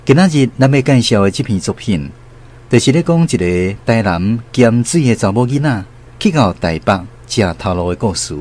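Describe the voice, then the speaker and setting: A man's voice: mid-range voice, expressive, normal speed, darkish tone. A man with a Taiwanese accent delivering scripted radio narration as the programme's host. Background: faint music.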